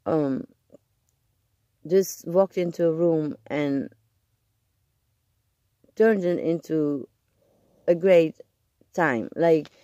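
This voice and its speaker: An adult vlogger speaking hoarsely because they are sick, talking in a halting, hesitant way and searching for words during a casual video check-in. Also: medium pitch, mellow, dry recording.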